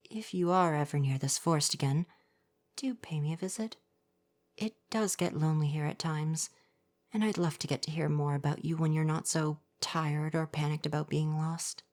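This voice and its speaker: A man playing a gentle, coy and flirty forest spirit in an ASMR roleplay, speaking softly, at times breathily, in a formal, reassuring way. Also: fairly high pitch, natural voice. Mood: warm, shy.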